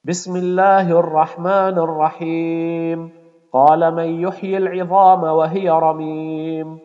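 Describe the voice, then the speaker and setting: A man reciting scripture in a slow melodic chant and repeating healing invocations. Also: on the high side, level tone. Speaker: Quran reciter performing ruqya. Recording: dull audio with long reverb.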